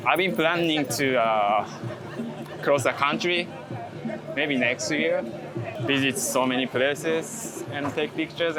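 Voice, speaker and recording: A man from Japan with a Japanese accent, talking casually in an interview and often searching for English words. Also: medium pitch. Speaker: interview guest, street photographer. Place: outdoors in a busy city park. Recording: outdoor city bustle with traffic.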